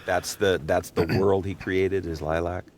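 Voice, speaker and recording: A man's voice: flat delivery, measured pace, a crowd in the background. A man hosting a casual interview podcast in an encouraging, appreciative manner. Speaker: podcast host. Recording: clear low rumbling background noise.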